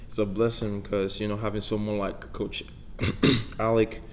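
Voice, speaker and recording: A young man with a Nigerian accent answering press conference questions in a soft-spoken way, filling pauses with "you know". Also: fairly low pitch, level tone, a little hiss or hum. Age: young adult. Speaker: college football player.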